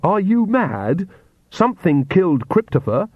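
A man voicing a sharp-tongued, furious young vampire woman who snarls scornfully at her father. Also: high voice, sing-song, a little slow, no room sound, narrow, tinny audio, voice pushed up, heavily acted.

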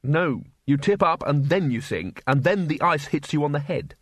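A man's voice: a little high, animated, moderate pace, dry recording. A man voicing a nervous, gloomy male wizard who speaks sarcastically and mournfully. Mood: glum.

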